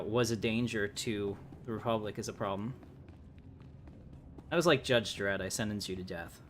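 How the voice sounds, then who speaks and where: A man's voice: lowish voice, fairly bright tone, a little hiss or hum. Chatty male game streamer. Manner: chatty, joking asides to viewers. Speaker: livestream gamer. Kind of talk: casual gameplay commentary.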